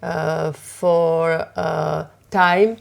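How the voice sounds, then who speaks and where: Slow, gravelly Slovak woman, halting English. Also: low voice, fairly even intonation. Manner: halting, many fillers and pauses, simple English. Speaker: fashion designer and author. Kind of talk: community TV interview.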